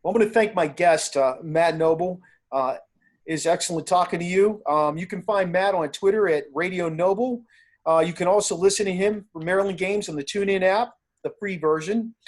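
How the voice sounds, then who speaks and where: A man's voice: high-pitched, moderate pace. An American man hosting a sports podcast, speaking in a casual interview style.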